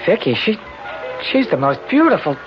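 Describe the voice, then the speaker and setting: An actor playing a naive, wide-eyed teenage boy in a 1970s radio drama, halting and slangy. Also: high voice, very animated. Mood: dazed. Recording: muffled 1970s radio broadcast with music.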